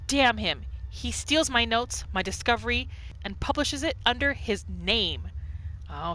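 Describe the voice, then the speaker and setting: A woman hosting a gaming video, giving casual, expressive commentary as she reacts to the game. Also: mid-range voice, low-quality recording. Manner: expressive, exclaiming.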